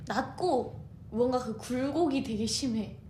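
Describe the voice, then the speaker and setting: Young female co-host with a high voice, warm and teasing, echoey studio with faint hiss. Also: measured pace. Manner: warm teasing, laughs easily. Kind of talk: casual radio chat.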